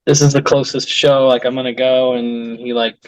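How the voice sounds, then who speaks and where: A man's voice: medium pitch, little pitch movement, normal speed, dry recording, low-quality recording. A man in a punk band telling an easygoing tour story with many fillers. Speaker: band member guest. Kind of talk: casual interview chat.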